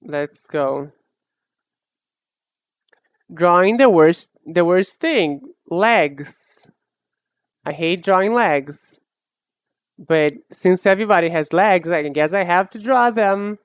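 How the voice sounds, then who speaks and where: A young woman streamer chatting casually while she draws, rambling and self-mocking in a soft, low-key way. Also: no room sound. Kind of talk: casual chat while drawing live.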